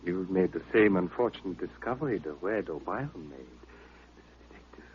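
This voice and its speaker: An actor playing a pompous, villainous doctor, formal, smug and coolly menacing, in an old radio drama. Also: male voice, low-pitched, animated, a little fast, slight background noise. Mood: smug menace. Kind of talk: 1950s radio drama acting. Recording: narrow, tinny old-time radio recording.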